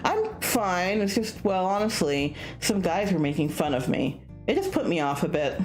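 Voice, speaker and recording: A woman's voice: on the low side, big pitch swings, moderate pace, soft background music. A woman voicing an anxious young male student in a visual novel, hesitant, self-conscious and a little sulky. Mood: sulky, worried.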